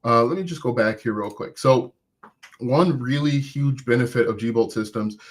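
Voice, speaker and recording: A man's voice: mid-range voice, normal speed. A man coaching viewers live about online business, talking conversationally and encouragingly with frequent restarts, and saying he has an accent of his own. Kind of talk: live-stream coaching, answering chat.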